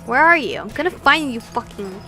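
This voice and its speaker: A woman voicing furious, foul-mouthed male vampires threatening each other in a visual novel, loud and aggressive, over music. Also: on the high side, big pitch swings, brisk, exaggerated voice, poor audio. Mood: menacing, taunting. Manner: shouted, aggressive.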